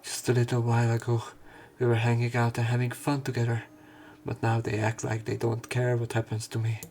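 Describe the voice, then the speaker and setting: A man's voice: medium pitch, a little mellow, a little hiss or hum. A man narrating a visual novel as a let's player, reading the story and lines aloud.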